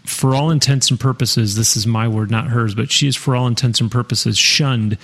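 A male host with a gravelly, creaky edge to a mid-pitched voice. He winds his way through long questions, restarting often, and chimes in with quick reactions while his guest speaks. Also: steady tone, fairly bright tone. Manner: rambling questions, frequent restarts, interjects "wow". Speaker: podcast host. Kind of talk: casual interview chat.

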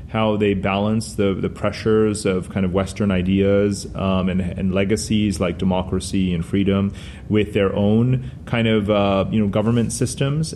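A man's voice: lowish voice, darkish tone, slight background noise. A middle-aged man whose first language is Hindi, answering interview questions in a discursive way with many "you know" fillers. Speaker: guest author.

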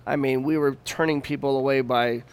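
A man in his fifties with a smooth, clear voice talks in a casual interview as a chef and restaurant owner. His way of speaking is loose, slangy and conversational. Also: mid-range voice, steady tone, a little fast. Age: 50s. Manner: informal, slangy. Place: downtown Denver venue.

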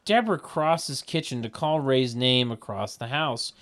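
A man narrating a comedy table read in a deadpan way, with mocking sardonic asides. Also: medium pitch, a little slow, no echo. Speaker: narrator reading stage directions.

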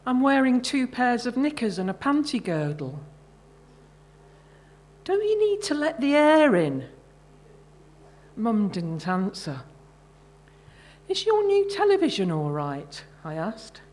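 A middle-aged woman, an author reading her own short fiction at a live event, measured and carefully phrased. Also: fairly high pitch, huge pitch swings, darkish tone.

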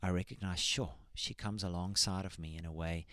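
A man who leads church worship, talking in an earnest, thoughtful way with frequent pauses and ums. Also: steady tone, a bit bright, no room sound, studio quality. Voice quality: high tenor singing voice. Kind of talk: casual church podcast chat.

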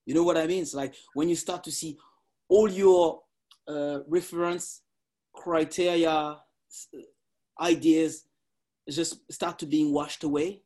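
A man in his forties with a notably high, light voice, talking in loose, animated English. He laughs often and reaches for words as he goes. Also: a little slow, pauses often. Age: 40s. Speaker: parkour practitioner. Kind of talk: casual conversation.

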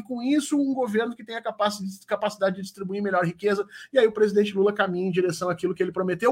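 A Brazilian man with a high-pitched voice that has a rough, husky grain. He talks conversationally as a guest political commentator, making his points emphatically and with firm opinions.

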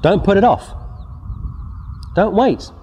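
A man's voice: high voice, animated, slow, dark tone, husky, in a large hall. A man preaching a sermon outdoors, reading scripture and pressing his warnings with emphasis. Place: outdoors before scenery.

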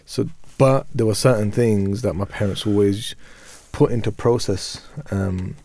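A man's voice: on the low side, a little slow, dark tone, slight background noise. A man in his thirties, co-hosting a radio discussion, whose first language is Urdu, telling candid, reflective stories from his childhood. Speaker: radio show co-host. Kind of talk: casual panel discussion.

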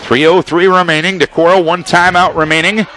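A man calling a basketball game on radio, giving a quick, detailed call of every pass along with the score and the clock. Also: sits high, little pitch movement, sharp tone, a little hiss or hum. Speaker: play-by-play announcer.

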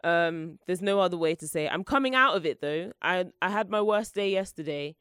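A woman's voice: fairly low pitch, brisk, a bit bright, clear, resonant tone, dry recording, studio quality. A young woman hosting her own solo podcast, chatting in a rambling, playful and self-mocking way, her voice congested from the flu. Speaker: podcast host.

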